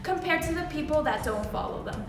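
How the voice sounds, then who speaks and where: High-voiced woman explaining charts. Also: expressive, brisk, recorded from a distance. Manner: explaining, teacherly, with asides. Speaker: mock statistician guest. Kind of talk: scripted explanatory presentation. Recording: echoey room from afar, music behind.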